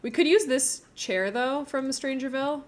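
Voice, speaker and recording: A woman's voice: sits high, normal speed. A woman game streamer chatting casually and thinking aloud as she builds, with a giggly, informal manner.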